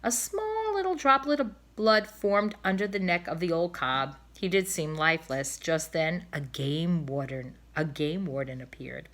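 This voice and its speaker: A woman reading a children's storybook aloud in an expressive narrating style. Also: on the low side, unhurried, few pauses. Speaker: storybook reader.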